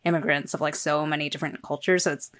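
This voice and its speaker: A woman co-hosting a podcast, chatting conversationally and often softening her points with "I guess". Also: low voice, steady tone, fast. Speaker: podcast co-host. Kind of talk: casual interview chat.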